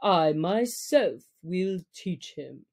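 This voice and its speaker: A kindly old brown bear from a storybook, acted out by a woman. The voice is pushed down low and moves very slowly, ponderous and sleepy, like an aged teacher. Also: expressive, darkish tone, full character voice. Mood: earnest, steady. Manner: slow, ponderous, grunting.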